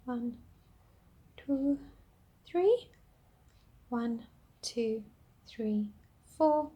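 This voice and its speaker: A woman talks you through a crochet pattern at an unhurried pace, counting each stitch aloud. Her voice sits a touch high and sounds a little worn, with a throat-clearing huskiness. She stays patient and gentle throughout. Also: expressive. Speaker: crochet instructor. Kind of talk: step-by-step craft tutorial.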